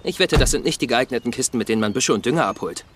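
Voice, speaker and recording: An actor playing a cautious young sleuth's sidekick in dubbed comedy-crime TV dialogue, earnest and fussy, often exasperated and protesting. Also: male voice, medium pitch, lively intonation, rapid-fire, slight background noise, close to an everyday voice.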